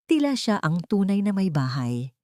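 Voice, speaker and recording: A woman's voice: mid-range voice, big pitch swings, normal speed, a little mellow, no room sound, clean studio sound. A woman reading scripted dramatic narration as the storyteller of an audio drama.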